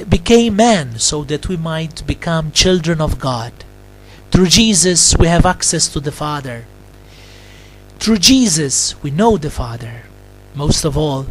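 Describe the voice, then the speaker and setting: A man, a Capuchin friar, delivers a Christmas homily and prayer in short deliberate phrases with long pauses. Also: a little high, low-quality recording.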